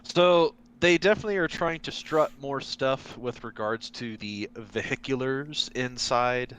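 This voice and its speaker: Smooth-voiced man, hesitant recap. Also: medium pitch, a little slow, a bit bright, rough recording. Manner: hesitant, filler-heavy, explanatory. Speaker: podcast co-host. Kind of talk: casual chat.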